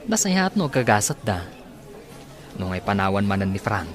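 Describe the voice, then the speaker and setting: A man's voice: on the low side, big pitch swings, normal speed. An actor with an Ilocano Filipino accent playing an easygoing young working man in a radio drama. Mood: chatty.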